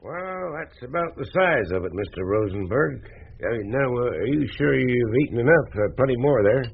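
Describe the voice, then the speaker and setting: An actor playing a hearty, hospitable older American rancher with a husky voice in a 1980s radio drama. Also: male voice, medium pitch, lively intonation, normal speed. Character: hearty older Western rancher and mine owner. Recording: thin, phone-like old radio recording.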